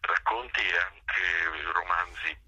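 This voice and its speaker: An older man with an extremely deep, rough-edged voice reflects in an interview. He takes his time, pausing often and repeating words as he searches for the next phrase. The sound is husky and unhurried. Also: sharp tone, highs cut off. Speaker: theatre actor and director being interviewed. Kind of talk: reflective spoken interview answers.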